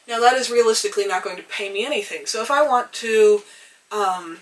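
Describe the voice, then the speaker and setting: A young woman getting over a cold, her voice a little hoarse, talking thoughtfully to the camera with frequent pauses and ums. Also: medium pitch, far from the mic, low-quality recording. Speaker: vlogger. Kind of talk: reflective casual talk to camera. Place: tiny house.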